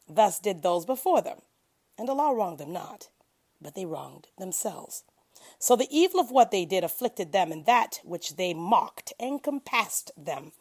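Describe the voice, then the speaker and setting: A woman's voice: mid-range voice, darkish tone. A woman reading a translation of scripture aloud as devotion, in a solemn, declamatory manner with emphasis on key phrases. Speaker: scripture reader.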